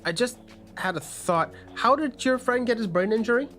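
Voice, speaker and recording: A man's voice: extremely high pitch, expressive, moderate pace, a bit bright. A man improvising conversationally as game master, narrating scenes and voicing characters in a tabletop game. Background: faint music.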